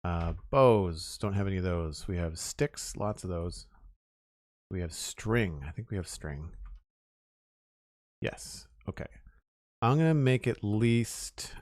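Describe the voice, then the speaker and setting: A man's voice: low voice, lively intonation, slow pace, long pauses, a little mellow, no echo, clean studio sound. A young man from Nova Scotia with a Canadian Maritime accent, chatting casually to his audience while playing, rambling and counting aloud. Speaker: streamer. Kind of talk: casual gameplay livestream chat.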